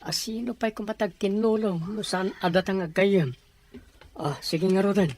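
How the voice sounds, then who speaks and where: A woman's voice: medium pitch, normal speed, a little mellow, close to an everyday voice. A woman voicing a helpful, brotherly male office co-worker in an audio drama. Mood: caring, urging. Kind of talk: Ilocano audio drama acting.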